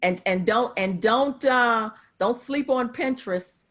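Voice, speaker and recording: A woman's voice: on the high side, measured pace, fairly bright tone. A woman teaching an interactive class over a video call as a professor, emphatic and repeating phrases for emphasis. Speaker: marketing professor.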